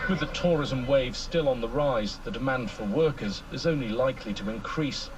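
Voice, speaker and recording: A man delivering a scripted radio news report as a correspondent, in a clear, storytelling way. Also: medium pitch, moderate pace, muffled recording. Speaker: foreign correspondent. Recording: radio broadcast under road noise.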